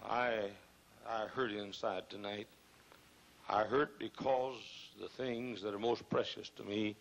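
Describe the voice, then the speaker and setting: An older man preaches from a pulpit microphone in a large church, his lowish voice hoarse and rough with gravel. He hammers his points, repeats phrases and swells into loud bursts. A faint hiss from an old video recording sits under him. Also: poor audio. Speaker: pastor. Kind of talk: fiery sermon. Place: large church auditorium, choir behind.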